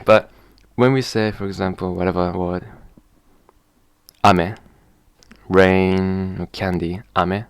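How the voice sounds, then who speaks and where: Low japanese-accented guy vlogging, slow and halting, keeps repeating words, pretty gravelly. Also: steady tone, no echo. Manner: hesitant, repeats and restarts, sounds out example words slowly. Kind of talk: casual spoken explanation to camera.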